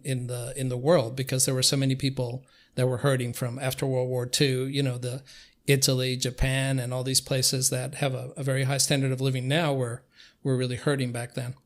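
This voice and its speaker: An older man who grew up in Cuba with Spanish as his first language. He talks as a guest in a conversational, reminiscing way, with a flat, mid-pitched delivery. His phrasing is halting, with many searching pauses. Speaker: interview guest.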